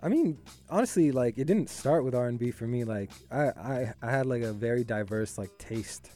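A young man from Jordan and Canada, whose first language is Arabic, chats loosely as a guest on a radio interview. His voice is warm and mellow, and he talks in a rambling way, full of "like." Faint music plays in the background. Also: medium pitch, moderate pace. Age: young adult. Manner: relaxed, rambling, heavy use of "like".

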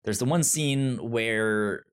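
A man co-hosting a casual film discussion, thinking aloud haltingly and often repeating words as he works toward his point. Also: mid-range voice, clean tone. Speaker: podcast co-host.

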